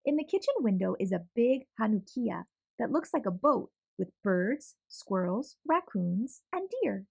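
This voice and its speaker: A young adult woman reading a children's picture book aloud in an expressive, animated way. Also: medium pitch, a little slow, creaky, husky, no echo, lo-fi sound. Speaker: storyteller.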